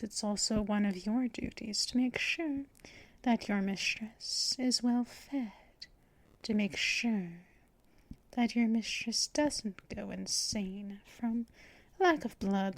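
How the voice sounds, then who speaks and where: A possessive, teasing female vampire mistress, played by a woman in an ASMR roleplay. She talks very slowly in breathy half-whispers, close to the microphone, with a fairly high voice and a dry, close sound. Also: dry recording. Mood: menacing, teasing. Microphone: close microphone for headphone listening.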